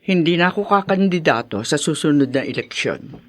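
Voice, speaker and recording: Weary Filipino political matriarch, very animated. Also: female voice, low voice, normal speed. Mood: weary, resolved. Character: weary politician mother stepping down, scolding her grown sons. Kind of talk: Filipino radio drama acting.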